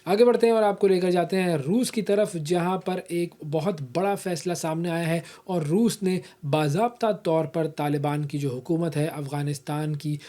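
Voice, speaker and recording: A man's voice: a little high, fairly even intonation, normal speed, few pauses, warm tone. A man with a Pakistani accent hosting a news programme, explaining events to viewers in a conversational way. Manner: conversational, explanatory, with repeated filler phrases. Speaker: news show host. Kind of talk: news analysis presented to viewers.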